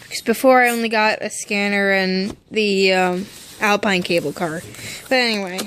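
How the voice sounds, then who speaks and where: A woman's voice: mid-range voice, moderate pace, fairly bright tone, a little hiss or hum. A woman casually narrating an unboxing as a vlogger, informal, with muttered asides to herself. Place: indoors at home.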